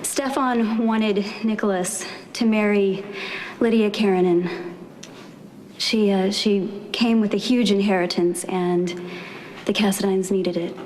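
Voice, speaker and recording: An actress playing an earnest, composed young witness who recalls events in a measured way in a soap drama. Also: female voice, mid-range voice, steady tone, a little mellow, slight background noise, natural voice, low-quality recording. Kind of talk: television soap drama acting.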